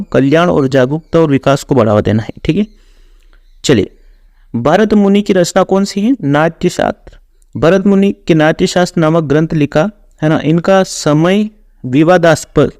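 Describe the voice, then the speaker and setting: Male exam coach, higher-pitched voice, instructional question-and-answer delivery, very dry recording. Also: darkish tone, no echo. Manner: instructional, repeats answers and stock transition phrases. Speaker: exam coach, online teacher. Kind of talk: reading out quiz questions and explaining answers.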